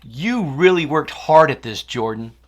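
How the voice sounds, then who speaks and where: A woman voicing a kind, upbeat young schoolgirl in a children's story, speaking with animated encouragement. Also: low-pitched, measured pace, voice pushed up. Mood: delighted.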